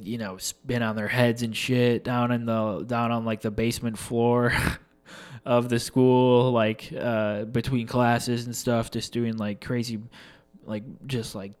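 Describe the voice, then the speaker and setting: Young man, casual storytelling monologue, rambling, swears a lot, slightly congested, middle pitch. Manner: rambling, loose, full of fillers and swearing. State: congested from allergies.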